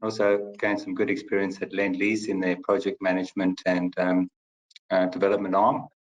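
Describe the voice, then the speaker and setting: A man's voice: deep voice, little pitch movement, normal speed, rough recording. A middle-aged man from South Africa with a South African accent, speaking as a guest on a webinar panel, hesitant with many fillers. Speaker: panel guest, company CFO.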